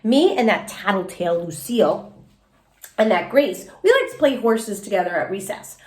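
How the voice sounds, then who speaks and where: A woman's voice: mid-range voice, lively intonation, moderate pace, a little mellow. A woman reading a children's story aloud expressively, as a teacher does for young listeners. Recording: echoey room.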